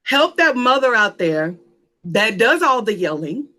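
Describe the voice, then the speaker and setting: Lady running a church panel, lively, preachy, says things twice. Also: fairly high pitch, unhurried. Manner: lively, preacherly, repeats phrases.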